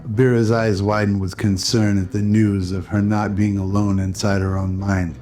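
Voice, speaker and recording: A man's voice: fairly low pitch, flat delivery, normal speed, mellow. A man narrating a scripted radio drama in a pulp noir storytelling style. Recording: music under the voice.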